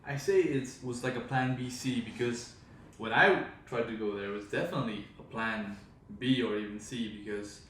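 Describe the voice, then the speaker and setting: A young man from India co-hosts a relaxed podcast, chatty and quick to joke, with frequent restarts mid-sentence. His voice sits at an average pitch for a man. The sound is very reverberant and picked up from a distance, with a faint hum of noise in the background. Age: 20s. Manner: chatty, self-interrupting, joking. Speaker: podcast co-host. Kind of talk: casual chat. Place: friend's home. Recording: echoey room, distant mic, faint noise.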